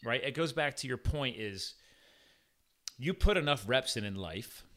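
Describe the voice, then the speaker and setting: A man in his forties with a Philadelphia-area American accent, hosting a casual podcast chat in an informal, animated way. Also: medium pitch, a little slow, crowd noise. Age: 40s. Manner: animated, informal, peppered with "like" and "guys". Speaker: podcast host. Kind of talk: casual conversational podcast interview.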